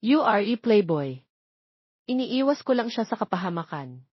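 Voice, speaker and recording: A woman's voice: mid-range voice, very animated, normal speed, husky. A woman voicing a curt, defensive, grumpy billionaire man in a romance story. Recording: muffled lo-fi, roomy echo.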